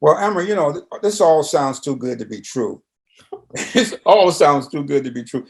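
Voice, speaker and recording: A man's voice: a little high, lively intonation, normal speed, gravelly, a little mellow. A man interviewing in a loose conversational style, plain-spoken, folksy and probing.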